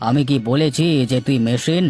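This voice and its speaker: A man's voice: mid-range voice, moderate pace, a little mellow, lightly acted. A man voicing a bossy work-gang foreman in a cartoon, commanding and disapproving. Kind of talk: cartoon dialogue acting. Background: background music.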